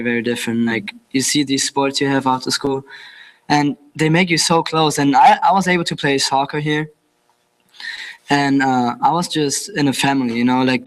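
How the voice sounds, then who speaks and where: A man's voice: mid-range voice, low-quality recording. German teen boy, accented, filler-heavy thank-you at a meeting. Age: teens. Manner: hesitant, with many fillers. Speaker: exchange student.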